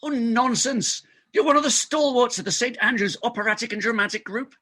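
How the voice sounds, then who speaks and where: A bright, forceful and high voice from a male actor for a desperate farce doctor. He is raspy and insistent, forever cajoling his colleague. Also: fairly even intonation, a little fast, heavily acted. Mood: desperate pleading. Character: desperate, fast-talking married doctor wheedling a colleague. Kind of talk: stage farce readers theater acting.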